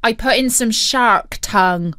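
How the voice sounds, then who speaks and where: Woman as a breezy, forceful young cabin boy. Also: a little high, unhurried, dry recording, studio quality. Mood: helpful. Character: matter-of-fact, cheerful young cabin boy on pirate ship.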